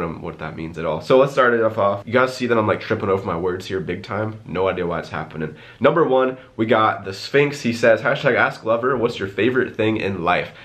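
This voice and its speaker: A man's voice: fairly low pitch, fairly bright tone. A young man in his twenties with a stuffy, congested voice, chatting casually to camera and answering viewer questions in a rambling way full of fillers. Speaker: YouTuber.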